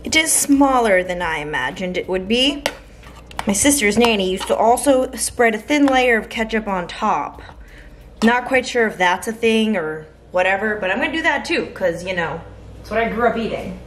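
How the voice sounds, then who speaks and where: A woman's voice: medium pitch, lively intonation, normal speed. A young woman in her twenties chatting casually through a home cooking vlog, upbeat and thinking aloud as she works, filmed close on a handheld camera in a small apartment. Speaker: vlogger. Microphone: handheld camera close to face. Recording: echoey room with faint background noise.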